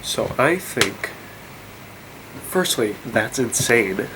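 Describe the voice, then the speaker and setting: A man's voice: medium pitch, expressive, very slow, background noise. A congested young man vlogging, rambling and incredulous, with many fillers and restarts. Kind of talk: casual rant to camera.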